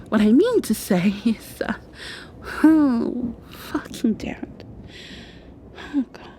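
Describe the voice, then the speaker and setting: A woman playing a shy, flustered young vampire girl in love in an intimate ASMR roleplay, speaking haltingly and often dropping toward a whisper. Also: mid-range voice, a little hiss or hum. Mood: nervous, smitten. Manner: soft, halting, breathy whispers and nervous laughs.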